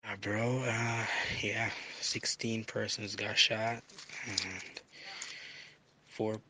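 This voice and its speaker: Shaken male bar owner played by an actor, low flat delivery, halting, noisy ambience behind. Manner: halting, hesitant, trailing off. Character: shaken bar owner, shocked and hesitant after a shooting. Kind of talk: audio drama acting, halting. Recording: clearly noisy background ambience.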